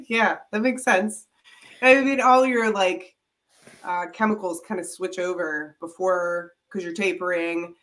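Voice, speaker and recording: Podcast co-host, woman with a lower voice, congested from a head cold, relaxed and chatty. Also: slow pace. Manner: chatty, laughs often, many fillers. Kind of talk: casual chat.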